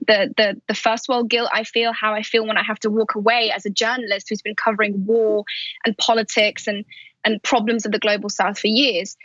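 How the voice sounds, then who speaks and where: A woman's voice: fairly high pitch, a bit bright, no room sound, lo-fi sound. A woman who grew up in Afghanistan, Pakistan and Saudi Arabia, talking candidly and with animation as a journalist and podcast host being interviewed. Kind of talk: conversational interview. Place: remote call from a house in London.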